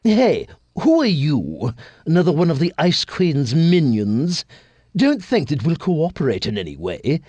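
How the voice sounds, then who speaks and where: A cheeky, defiant little-guy worker from a cartoon game. His slightly high voice swings dramatically as he grumbles, in a dry recording. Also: wildly swooping pitch, a little slow, mellow, breathy edge, dry recording, exaggerated voice. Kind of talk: cartoon video game acting.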